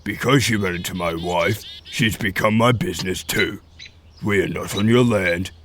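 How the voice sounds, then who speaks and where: A man's voice: low voice, moderate pace, fairly bright tone, slight background noise. Man playing firm, commanding pack leader. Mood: stern. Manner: commanding, formal. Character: firm, authoritative male animal pack leader. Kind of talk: audio drama acting.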